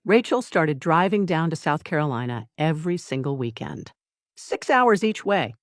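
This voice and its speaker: Audiobook narrator for domestic drama, medium pitch, deliberate and measured delivery, very dry recording. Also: sing-song, dry recording.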